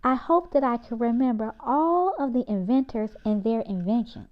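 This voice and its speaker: Woman playing a curious little girl in a kids story, lifted pitch, sincere, lo-fi audio. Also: normal speed, close to an everyday voice. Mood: earnest, grateful. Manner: wondering, earnest. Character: inquisitive, earnest young girl in a storybook.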